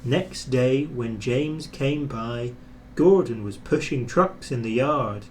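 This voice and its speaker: A man reads a children's storybook aloud with expression. He takes his time, at a middling pitch. There is faint noise behind the voice. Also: takes it slowly. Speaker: storybook reader.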